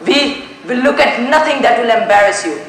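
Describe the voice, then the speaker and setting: A teenage student acting a composed, methodical detective in a stage play, speaking formally and deliberately. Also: mid-range voice, lively intonation, a bit bright, slight background noise, lightly acted. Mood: composed, probing. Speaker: student actor in a school play. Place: school stage, indoors. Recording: very echoey school stage, music behind.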